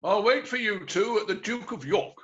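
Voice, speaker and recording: A man's voice: sits high, expressive, moderate pace, fairly bright tone, highs cut off. A man playing a sweet, friendly upper-class cavalry lieutenant in an amateur screenplay read-through. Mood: light joking.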